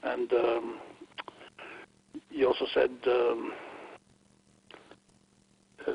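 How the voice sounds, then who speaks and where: An Italian-born man calls in with hesitant, stammering questions. His voice is gravelly and husky with a flat delivery. The line sounds dull and lo-fi. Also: mid-range voice, very slow. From Italy. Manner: hesitant, many fillers and stammers. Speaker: radio caller. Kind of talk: casual phone-in questions.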